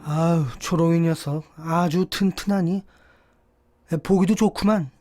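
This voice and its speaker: A woman's voice: on the low side, steady tone, a little slow, dark tone. A woman voicing a gossiping village onlooker in a fable, folksy and exclaiming. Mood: admiring. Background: background music.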